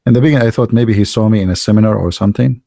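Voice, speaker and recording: A man who speaks Arabic natively, hosting a livestream in non-native English, telling anecdotes and chatting casually in an animated, gravelly voice. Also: on the low side, racing, no echo, studio quality. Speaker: livestream host. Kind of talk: casual anecdotes and chat with live viewers.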